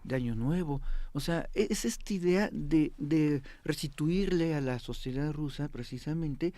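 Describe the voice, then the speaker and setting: Guy with a kinda high voice, expert guest explaining stuff emphatically, really dry studio sound. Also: unhurried, warm tone, no room sound. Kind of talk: interview commentary on politics.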